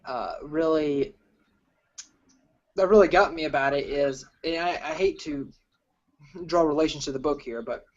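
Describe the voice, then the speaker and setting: A man with a slightly high voice hosts an informal film review chat. He feels his way through sentences in a halting, rambling manner. The recording sounds a little dull and lo-fi. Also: very animated. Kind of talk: casual group film discussion.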